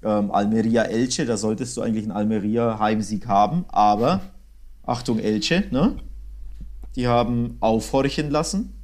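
A man's voice: fairly low pitch, normal speed, darkish tone. A man co-hosting a podcast, casually analysing football in a conversational way.

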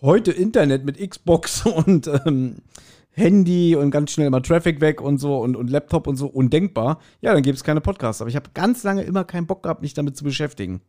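A man chatting casually as a podcast host, telling anecdotes in an animated way and acting out quotes. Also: on the high side, fairly quick. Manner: animated retelling with acted-out quotes. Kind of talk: casual chat, anecdotal storytelling.

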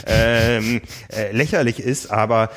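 Low-voiced man chatting with many fillers. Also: steady tone, slow. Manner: thoughtful, hesitant with many fillers. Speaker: podcast host and magazine editor. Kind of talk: casual podcast conversation.

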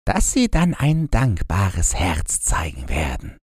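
A man's voice: deep voice, huge pitch swings, slow pace, husky, no room sound, studio quality, exaggerated voice. A man voicing a tame female crow in a fairy tale audiobook, prim, polite and formal in manner. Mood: knowing, prim.

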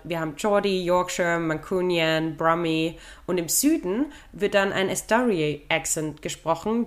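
Podcast lady with a lowish voice, explains stuff, laughs at her own jokes. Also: a bit bright. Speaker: podcast co-host. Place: living in London.

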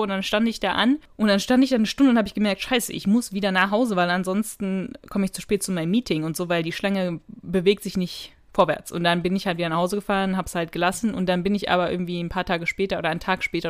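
Woman, podcast co-host, casual chat, informal rambling storytelling, medium pitch. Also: fairly even intonation, fast, few pauses. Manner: chatty, informal, loosely strung storytelling.

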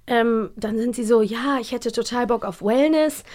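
Eine Frau moderiert einen Hörspiel-Podcast und kritisiert offen im lockeren Plauderton, mit vielen Füllwörtern. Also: female voice, on the high side, normal speed, noisy background. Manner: candid, critical, filler-heavy. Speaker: podcast host. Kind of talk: casual podcast review chat.

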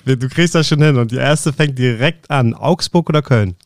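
A man co-hosts a podcast in an easygoing way, laughing along as he asks his questions. His pitch is ordinary for a man. The sound is dry and close, with no room sound. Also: moderate pace, dry recording. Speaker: podcast co-host. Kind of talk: casual podcast conversation.

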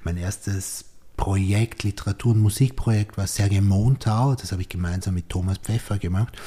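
Male podcast host, low dark husky voice, circles around his questions. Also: steady tone, normal speed. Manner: asks rambling, reformulated questions with many restarts. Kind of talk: interview conversation.

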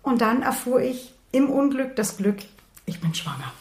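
A woman in her sixties from Berlin, a retired nurse and author, telling her life story in a calm, matter-of-fact way. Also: a little high, normal speed. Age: 60s. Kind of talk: personal life story in conversation. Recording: roomy echo.

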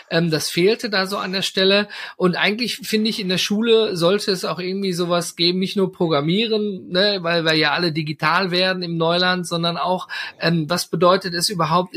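High, bright-voiced male podcast host. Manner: rambling, many fillers and tag questions. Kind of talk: casual interview chat.

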